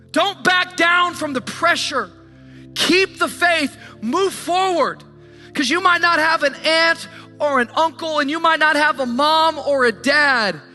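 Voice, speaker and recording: A man's voice: very high-pitched, pushed and bright. A man preaching an impassioned motivational sermon, emphatic and declamatory, repeating phrases for effect in colloquial language.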